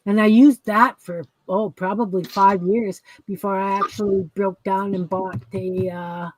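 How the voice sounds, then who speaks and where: A woman from Canada hosting a casual craft livestream, chatty and rambling with quick laughs. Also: mid-range voice, fairly even intonation, darkish tone, rough recording. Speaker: livestream host.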